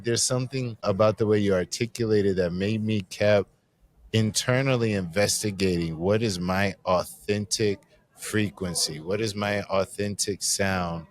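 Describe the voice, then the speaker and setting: Deep-voiced male podcast host, relaxed and wandering, slangy. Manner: reflective, rambling, casual slang. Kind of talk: conversational interview. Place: live conference recording.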